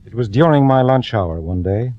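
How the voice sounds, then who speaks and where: A man's voice: mid-range voice, animated, normal speed, lightly acted. An actor playing a fed-up, desperate ordinary working man, confiding his story in the style of 1940s radio drama. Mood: weary, reflective. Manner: confiding, self-questioning first-person storytelling. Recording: dull vintage radio recording, music behind.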